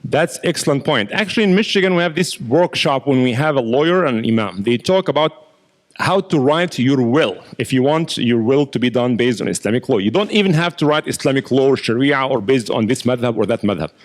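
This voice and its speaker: A man teaching as an imam, talking at a mid pitch and working through his points with patient explanations. He often repeats small words while he gathers his thoughts. He speaks as a lecturer taking questions from a room. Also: lively intonation, a little fast. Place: mosque lecture hall.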